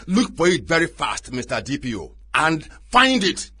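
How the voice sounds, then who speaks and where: An actor playing a proud, vengeful community chief with a Nigerian accent, confrontational and sarcastic in a radio drama. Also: male voice, a little high, wildly swooping pitch, normal speed, sharp tone, husky, heavily acted.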